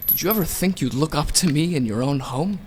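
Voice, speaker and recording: A man's voice: fairly high pitch, expressive, fairly quick, darkish tone, slight background noise, natural voice. A man playing a vengeful king of the gods in a radio play, taunting and theatrical. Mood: gloating, taunting. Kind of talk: audio drama acting.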